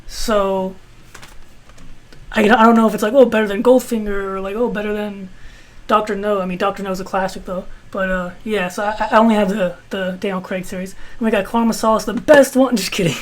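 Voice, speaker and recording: A man in his twenties with a high-pitched voice, reviewing his film collection in a rambling, casual way with some swearing. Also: steady tone, fairly quick. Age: 20s. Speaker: video host.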